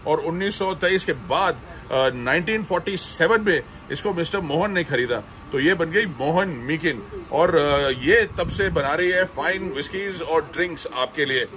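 A man's voice: on the high side, expressive, moderate pace, a bit bright, poor audio. A man co-hosting a travel show, presenting the history of a place outdoors in an upbeat, casual way. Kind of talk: casual travel vlog narration. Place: outdoors at brewery yard.

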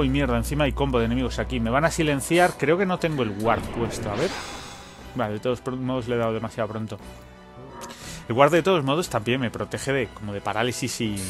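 A man's voice: medium pitch, a bit bright. A man giving informal let's-play commentary, thinking aloud as he plays and swearing now and then. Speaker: gaming channel host.

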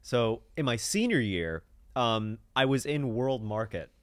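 A man's voice: mid-range voice, animated, measured pace, clean tone, no echo. A young man co-hosting a podcast, chatting casually and telling stories in a playful, teasing way on a couch. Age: young adult. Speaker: podcast co-host. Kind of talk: casual chat. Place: living room couch. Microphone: studio mic on boom arm.

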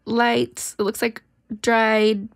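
Chatty giggly lady cohosting a podcast, kinda high voice, dry close mic. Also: level tone, drawn-out words, no room sound. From Pacific Northwest. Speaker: podcast co-host. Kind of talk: casual chat.

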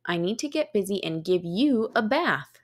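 A woman voicing a busy, excitable little girl in a storybook, dramatic and exclamatory, stretching out her words. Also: mid-range voice, normal speed, close to an everyday voice. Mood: excited, dramatic.

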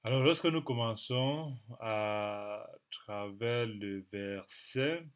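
Man, thirties, has a cold, Bible teaching, webcam at home, very slow delivery, lowish voice, repeats for emphasis. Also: clean tone. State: has a cold, clears throat. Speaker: preacher. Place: small indoor room at home.

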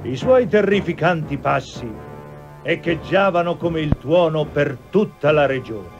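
A man's voice: on the high side, lively intonation, measured pace. A man narrating a children's cartoon fairy tale in a scripted, theatrical storytelling manner. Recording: dull lo-fi TV soundtrack with music. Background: music.

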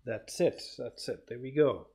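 A man's voice: mid-range voice, animated, measured pace, mellow, slightly rough. A man giving casual let's play commentary as a gaming host, muttering and thinking aloud.

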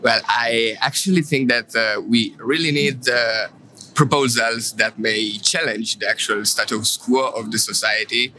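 A young man answering a street interview outdoors at a youth event, thoughtful and pausing on fillers as he reasons. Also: medium pitch, fairly even intonation, sharp tone, a little hiss or hum, low-quality recording. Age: young adult. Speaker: event attendee.

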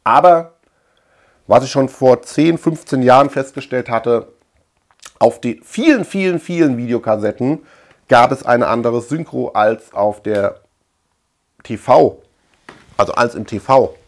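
A man's voice: mid-range voice, measured pace, pauses often. A man chatting casually as a video host, rambling colloquially and repeating words for emphasis. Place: small room at home lined with shelves.

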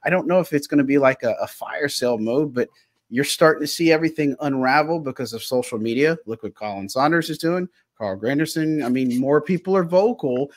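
A man's voice: on the high side, brisk, no echo, studio quality. Frustrated man ranting about his team. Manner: frustrated, rambling, heavy on fillers like "I mean" and "look". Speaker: podcast co-host. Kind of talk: sports analysis chat.

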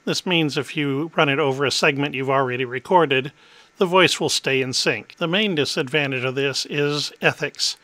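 Bright, methodical tech-demo host, a man. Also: a little high, moderate pace, no echo. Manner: clear, methodical explaining. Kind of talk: explanatory tech demo narration.

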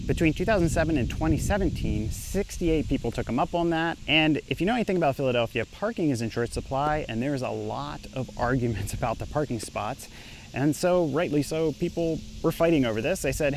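A man's voice: fairly high pitch, gravelly, darkish tone, slight background noise, rough recording. A young man, an engineer, casually explaining to camera while standing outdoors on a residential street. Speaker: engineer and startup founder.

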